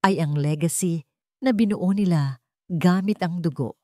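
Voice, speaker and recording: A woman's voice: lowish voice, unhurried, mellow, no room sound, studio quality, natural voice. A woman with a Filipino accent playing a proud, bitter wronged young woman, declamatory and accusing, in an audio story.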